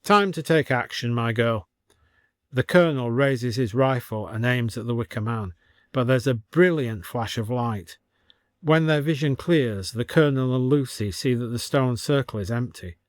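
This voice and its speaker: A man's voice: medium pitch, moderate pace, a little mellow, no room sound, clean studio sound. A man narrating a scripted story as an audiobook reader.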